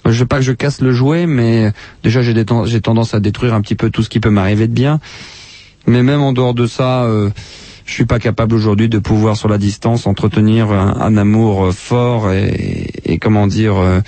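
A man hosting a radio show, talking through a hesitant personal monologue with many pauses. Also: lowish voice, fairly even intonation, warm tone, no echo. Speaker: radio host. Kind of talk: confessional monologue.

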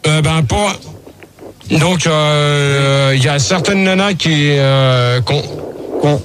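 A man hosting an unscripted radio call-in debate, stammering and repeating himself, gruff and irritable with callers. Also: on the high side, slow, noisy background.

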